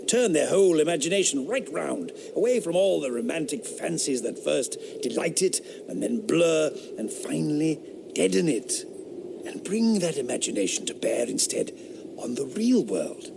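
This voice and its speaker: A man's voice: fairly high pitch, creaky, background noise, full character voice. An actor playing a scornful, lecturing rationalist philosopher man in a period radio drama, sneering at his host and sinking into intense whispers.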